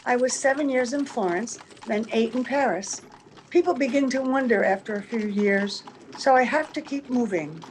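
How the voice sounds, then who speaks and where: A woman playing a wistful, gentle, secretly sad young-looking woman in an old-time radio drama. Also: on the high side, normal speed, close to an everyday voice. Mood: resigned. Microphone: video call. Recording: faintly noisy video-call recording.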